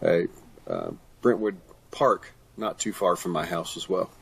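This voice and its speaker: A man's voice: low voice, huge pitch swings, measured pace, creaky, a bit bright, slightly rough. An older man answering questions as a guest author in a conversational interview. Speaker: guest author, retired pastor and teacher.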